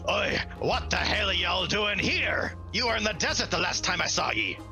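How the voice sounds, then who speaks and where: A man playing a hearty, gruff captain greeting old friends in a tabletop game. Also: high-pitched, lively intonation, moderate pace, pushed and bright, faint music behind, voice pushed up, exaggerated voice. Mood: hearty, surprised.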